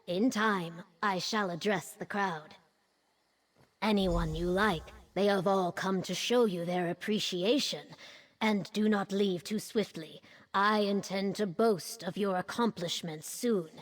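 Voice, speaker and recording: An actress playing a dignified, proud warrior leader in a video game, with formal, deliberate phrasing. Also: female voice, mid-range voice. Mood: stately, gracious. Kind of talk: video game dialogue acting.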